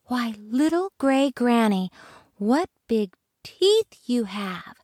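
A woman voicing a clever young girl who speaks sweetly, slowly and knowingly. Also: fairly high pitch, very animated, darkish tone, no echo, clean studio sound, voice pushed up, exaggerated voice. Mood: coy.

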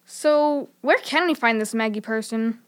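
A woman's voice: fairly high pitch, unhurried, clean tone, lightly acted. A woman playing a plucky, teasing school-age girl in a children's adventure audio drama, confident and joking.

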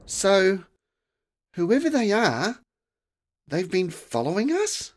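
A man's voice: high-pitched, sing-song, takes it slowly, pitched above the performer's own voice, heavily acted. A man voicing an anxious young girl, asking questions urgently.

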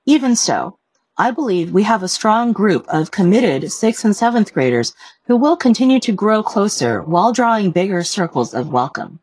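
A woman with a slightly low voice reads a prepared report aloud. Her delivery is measured and careful, with lively movement in pitch. The recording is close and dry, with little sense of a room. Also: no echo, rough recording. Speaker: reader of a written report. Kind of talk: scripted read-aloud of a staff report.

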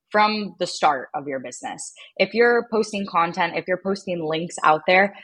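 A woman's voice: sits low, fairly bright tone, breathy edge, poor audio. An American woman as a podcast guest, explaining things in an informal, instructive way. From United States. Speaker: podcast guest, marketing and funnel strategist. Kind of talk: explanatory interview talk.

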